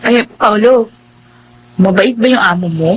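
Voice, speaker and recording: A woman with a Filipino accent acting a chatty young housemaid and single mother in a radio drama, expressive and emotive. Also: medium pitch, unhurried, a little hiss or hum, close to an everyday voice, rough recording. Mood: chatty, hopeful. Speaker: radio drama lead actress. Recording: music bed under the voice.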